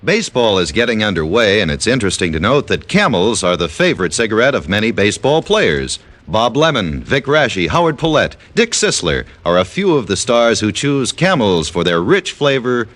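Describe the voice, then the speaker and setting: A man's voice: fairly low pitch, big pitch swings, normal speed, a bit bright. A man working as a radio commercial announcer, reading a scripted advertisement in 1950s style. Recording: vintage radio broadcast.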